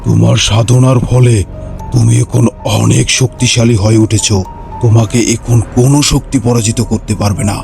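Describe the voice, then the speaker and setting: A man's voice: mid-range voice, flat delivery, normal speed, gravelly, music in the background, lightly acted. A man playing a solemn ascetic sage in a fairy tale cartoon, making weighty pronouncements. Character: solemn male ascetic sage granting boons.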